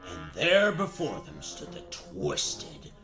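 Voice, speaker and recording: A man's voice: medium pitch, wildly swooping pitch, slow, a bit bright, faint music behind. A man narrating a comic fairy-tale radio play like a storybook, mock-dramatic and theatrical with wry asides.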